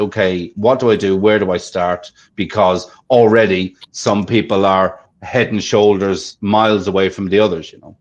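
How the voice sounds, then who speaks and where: A man hosting a casual discussion, chatty, often checking for agreement. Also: on the low side. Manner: chatty, asks for agreement with "right?". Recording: dull lo-fi audio.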